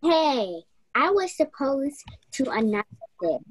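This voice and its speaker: A young woman playing a bossy, self-important detective in a radio play, speaking with authority and dramatic pauses. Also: high voice, big pitch swings, no room sound, full character voice. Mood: irritated. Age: young adult. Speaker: actor in a youth theatre radio play.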